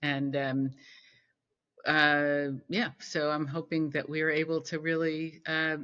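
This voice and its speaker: Bright-voiced woman, muffled lo-fi audio. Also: low voice, little pitch movement, clean tone. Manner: thoughtful, halting with fillers and restarts. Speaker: podcast guest. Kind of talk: conversational interview.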